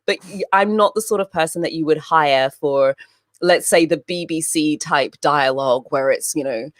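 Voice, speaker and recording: A woman with a low voice, raised in Papua New Guinea and the UK, chats animatedly as an interview guest. She tells stories freely and often breaks into laughter, heard in a dry, close recording. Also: normal speed, no echo. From grew up in Papua New Guinea, then the UK. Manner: lively storyteller who laughs often. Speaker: interview guest, event host and moderator. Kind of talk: casual interview chat.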